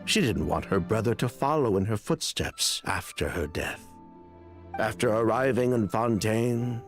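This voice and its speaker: An actor voicing a weary male ex-convict in a game, explaining his past in a heavy, resigned way with frequent sighs. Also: fairly low pitch. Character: weary male ex-convict, bitter, confessing old schemes. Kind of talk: game voice acting.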